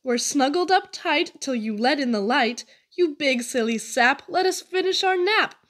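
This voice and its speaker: A woman voicing squeaky, indignant sardines who want to sleep, in a storybook poem reading. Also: lively intonation, moderate pace, a bit bright.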